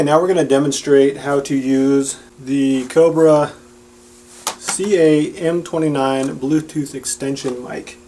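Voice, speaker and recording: A man's voice: mid-range voice, warm tone, low-quality recording. A man giving a casual, plain-spoken and explanatory product demonstration.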